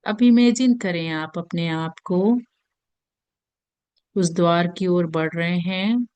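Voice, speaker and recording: An Indian-accented woman guides a meditation in a smooth, clear and fairly low voice. She speaks very slowly and soothingly, leaving long gentle pauses between phrases. The sound is close and dry. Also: dry recording, highs cut off. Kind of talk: guided meditation in Hindi mixed with English.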